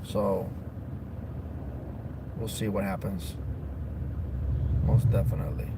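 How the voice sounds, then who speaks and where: Raspy man livestreaming from his car. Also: low-pitched, little pitch movement, slow. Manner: rambling, repeats himself, upbeat. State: raspy voice from a cold. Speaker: crypto channel host. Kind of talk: casual livestream chat while driving. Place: in a car on the road. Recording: loud road and car noise.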